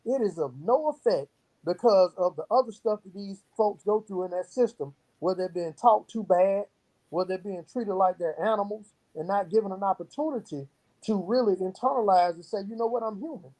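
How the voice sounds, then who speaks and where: A man with a mellow, relaxed tone talks as an interview guest. He makes his points with earnest, emphatic conviction, often explaining through stories and examples. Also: high voice, normal speed, rough recording. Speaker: guest, youth program founder. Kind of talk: earnest interview talk with storytelling.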